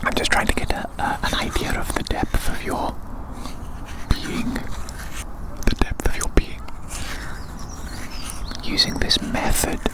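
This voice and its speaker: An ASMR performer speaking in a breathy, unvoiced whisper, gently musing and reassuring, often softly repeating phrases. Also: takes it slowly, long pauses, poor audio.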